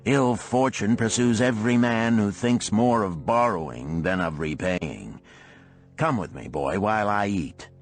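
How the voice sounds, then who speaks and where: A man's voice: lowish voice, a little slow, soft background music, pitched above the performer's own voice, lightly acted. A man voicing a boisterous, blunt middle-aged camel trader in an audiobook parable, declaiming in archaic phrases. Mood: demanding, scolding.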